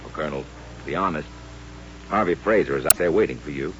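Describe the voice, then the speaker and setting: An actor playing an anxious, well-meaning frontier doctor, hesitant and pleading, in a radio western. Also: male voice, extremely low pitch, fast talker. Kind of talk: old-time radio western acting. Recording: muffled old radio recording with hum.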